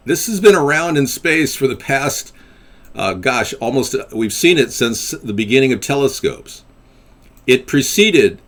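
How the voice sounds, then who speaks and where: A man's voice: mid-range voice, fairly bright tone. A man hosting a casual call-in web show, rambling and crude, calling people buddy. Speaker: host and presidential candidate.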